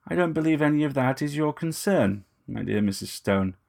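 A man's voice: on the high side, animated, normal speed, gravelly, darkish tone, voice pushed up. A man voicing a corpulent, genteel vampire in an audio story, unctuous, formal and menacing. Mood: smug, menacing. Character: corpulent, genteel, predatory male vampire with ragged breath.

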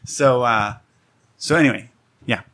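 A man's voice: medium pitch, unhurried, a bit bright. A man co-hosting a casual interview, putting curious questions in hesitant, roundabout phrasing. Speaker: co-host asking questions.

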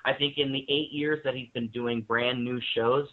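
A man's voice: mid-range voice, steady tone, normal speed. A man speaking as a guest in a panel interview, talking enthusiastically and emphatically about what he admires. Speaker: guest, radio drama maker. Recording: thin phone-like line.

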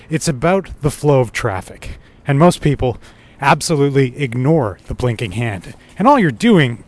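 A man talking conversationally as a podcast co-host. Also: medium pitch. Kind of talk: conversational podcast talk and opinion monologue.

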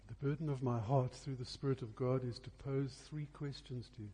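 A man preaching a revival sermon earnestly and deliberately, pressing his questions with repeated emphasis. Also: mid-range voice, steady tone, dark tone. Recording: husky, very reverberant church hall sound.

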